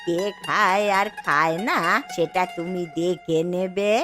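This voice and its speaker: A woman's voice: lowish voice, fairly even intonation, slow, music in the background. A woman voicing a kindly elderly village aunt in a Bengali cartoon, with a Bengali accent. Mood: calm, homely.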